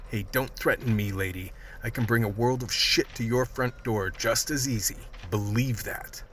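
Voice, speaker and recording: An actor playing a tough, world-weary crew leader in a radio drama, blunt, terse and streetwise. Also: male voice, medium pitch, normal speed, a bit bright, slight background noise, natural voice. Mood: hard, defiant. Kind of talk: radio drama acting with noir narration.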